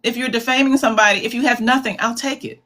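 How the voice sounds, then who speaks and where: A woman's voice: fairly high pitch, fairly even intonation, fast, fairly bright tone. A woman hosting a live commentary stream in a chatty, repetitive way, calling her viewers "babies". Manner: chatty, calls viewers "babies", repeats herself for emphasis. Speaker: commentary livestream host.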